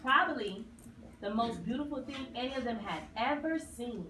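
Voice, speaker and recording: Female storyteller for a kids' puppet show: lively, fairly high voice, captured off-mic with noise behind. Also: moderate pace. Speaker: narrator. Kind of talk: scripted children's story narration. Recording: roomy, distant mic, clear noise.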